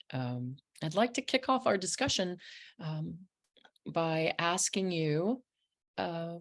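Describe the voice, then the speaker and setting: A woman moderating a panel discussion over a video call, reading agreements and introducing guests with small apologetic asides. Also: sits low.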